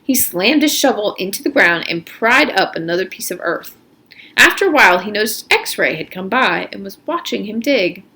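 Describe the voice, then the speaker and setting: Animated woman reading a story aloud. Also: medium pitch, moderate pace. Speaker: read-aloud narrator. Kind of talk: storybook read-aloud narration.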